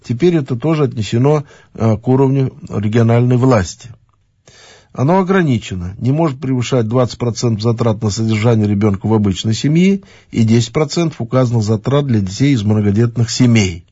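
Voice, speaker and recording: Halting official man, dark muffled voice. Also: mid-range voice, breathy edge, dry recording. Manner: halting, many fillers and restarts. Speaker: regional official. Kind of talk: official address.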